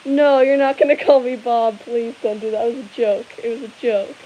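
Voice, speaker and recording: A young woman with a slightly high voice chats loosely on a livestream, giggling and rambling between viewer questions. A steady hiss sits under her voice throughout the recording. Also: moderate pace, muffled recording. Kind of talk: casual live Q&A chat. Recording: hissy background noise.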